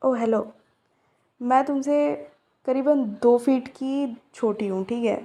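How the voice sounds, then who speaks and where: A woman's voice: high-pitched, slow pace, dark tone. A young woman acting out a romance roleplay to a silent listener, teasing and chatty.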